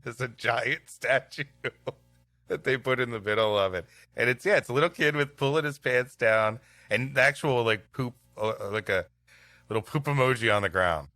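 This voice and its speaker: A man's voice: lowish voice, sharp tone, no room sound. A man co-hosting a comedy show, bantering and narrating stories. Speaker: podcast co-host and story reader. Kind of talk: comic banter and storybook narration.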